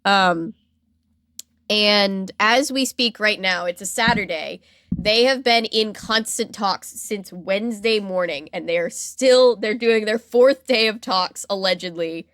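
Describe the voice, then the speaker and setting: A woman's voice: mid-range voice, unhurried, a bit bright, dry recording. Woman co-hosting a casual podcast, dry sound. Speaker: podcast co-host.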